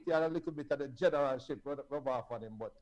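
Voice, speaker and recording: A man's voice: medium pitch, rapid-fire, a little mellow. A man with a Jamaican accent co-hosting a sports talk show, joking and confidently opinionated. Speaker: co-host.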